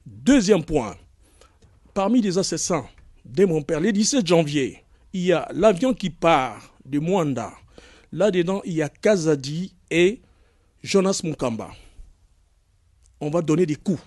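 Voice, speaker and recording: A man with a fairly high voice delivers an impassioned public statement, pressing each point with growing force. The sound is close and dry, with no room around it. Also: darkish tone, no room sound. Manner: emphatic, rising to anger. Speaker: speaker in played video clip.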